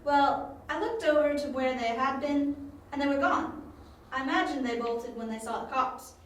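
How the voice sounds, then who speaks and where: A spirited young female informant, played by a woman in a homemade radio play, high in pitch and chatty with a cheeky, grudging edge. She sounds distant from the mic, in a strongly echoing room. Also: normal speed, lightly acted. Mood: wry, eager. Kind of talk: amateur audio drama acting. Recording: distant, very echoey, faint room noise.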